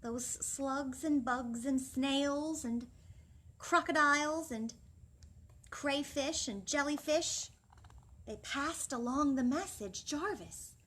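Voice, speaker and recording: Lady doing storytime for little kids, high sing-song voice, slow, talks right to them. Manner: expressive, sing-song, speaking directly to children. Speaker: children's librarian, storytime host. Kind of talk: animated picture-book reading and instruction for young children. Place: library room, seated indoors.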